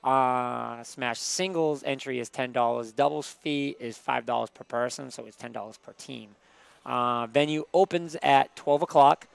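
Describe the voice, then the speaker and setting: Gravelly-toned man reading event details. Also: medium pitch, a little slow, no echo. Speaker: livestream host. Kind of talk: casual livestream announcement.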